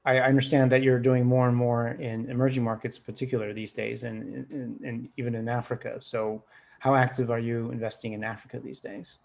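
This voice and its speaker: A man hosting an online investor panel, upbeat and brisk as he hands over to each guest by video call. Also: medium pitch, little pitch movement, creaky, a little mellow. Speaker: host.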